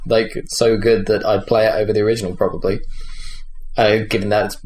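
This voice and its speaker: A man's voice: on the low side, little pitch movement, a little fast, a little mellow, slight background noise, low-quality recording. A man on a podcast panel, chatting casually about video games in an offhand, opinionated way.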